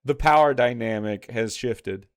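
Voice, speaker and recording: A man's voice: mid-range voice, measured pace, clear, resonant tone. A man giving casual, argumentative live commentary as a streamer, blunt and sarcastic, with plenty of swearing. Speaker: streamer reacting to a video.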